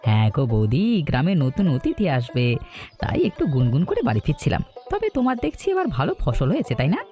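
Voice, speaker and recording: A man's voice: medium pitch, wildly swooping pitch, fast talker, mellow, faint music behind. A man voicing a cheerful, chatty village rumour-bearer in a cartoon folk tale. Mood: cheerful, bashful. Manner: sing-song, friendly, colloquial.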